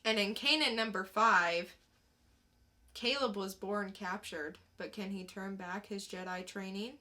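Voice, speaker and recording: A woman's voice: mid-range voice, measured pace, sharp tone. A woman co-hosting a podcast, casually reviewing comic books and reading short blurbs. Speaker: podcast co-host.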